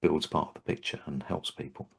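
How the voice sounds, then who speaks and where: An older man, a trainer hosting a relaxed interview, talking thoughtfully with frequent restarts and hesitations. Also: very deep voice, a little fast, creaky, breathy edge. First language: English. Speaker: Havening trainer and host. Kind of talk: casual interview chat.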